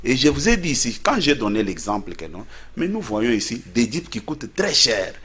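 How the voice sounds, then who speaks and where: A man's voice: medium pitch, very animated, normal speed, fairly bright tone. A man as a studio guest in a heated debate, insistent and repeating words to hold the floor.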